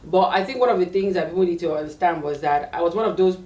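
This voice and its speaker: A Nigerian woman in her late thirties, a tech career coach, telling her story in a lively interview with fillers and restarts. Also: fairly low pitch, fast, far from the mic. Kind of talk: conversational interview. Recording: echoey room, away from the mic.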